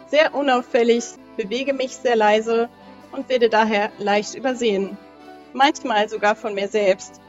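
A woman's voice: a little high, highs cut off. A young adult woman talking casually as a guest player in a role-playing game. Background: music.

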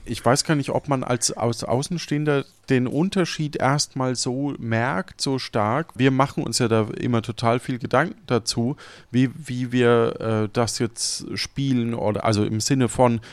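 A man's voice: medium pitch, steady tone, normal speed, no echo. A man hosting a podcast in casual chat, explaining things in a rambling way. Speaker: podcast host. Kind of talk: casual post-show chat.

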